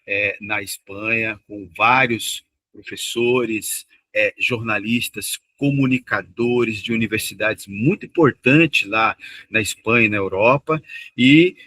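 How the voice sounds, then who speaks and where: A male host introduces his guest with eager, run-on enthusiasm. His voice is bright and forward, and the sound is dry and close with no room around it. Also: on the low side, slow, no echo.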